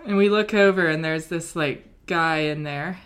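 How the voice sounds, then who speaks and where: A woman's voice: deep voice, normal speed, clear, resonant tone. A young British woman co-hosting a podcast, telling a story in a casual, rambling way with many ums and likes. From Britain. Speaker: podcast co-host. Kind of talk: casual chat.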